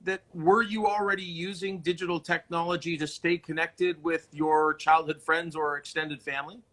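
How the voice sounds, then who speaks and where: A man's voice: fairly high pitch, little pitch movement, moderate pace, a bit bright. A man hosting a conversational interview, earnest and wordy as he circles his way into questions. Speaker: interview host. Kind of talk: conversational video-call interview.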